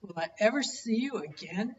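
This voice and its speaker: A woman voicing a timid young male mouse in a storybook, using a small, squeaky voice. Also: expressive, normal speed, distant mic, lo-fi sound, a little hiss or hum, natural voice.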